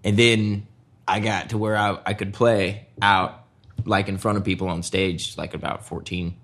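A young man with a fairly low voice talks about music in a relaxed, rambling way. He strings thoughts together with fillers and stops to restart his sentences. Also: normal speed. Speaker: guitarist guest. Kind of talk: casual conversation.

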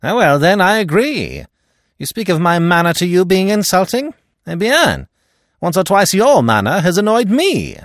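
Man acting a huffy, high-pitched French detective. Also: animated, brisk, dry recording, studio quality. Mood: indignant, huffy. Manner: indignant, formal. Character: proud, touchy French male police detective, huffy.

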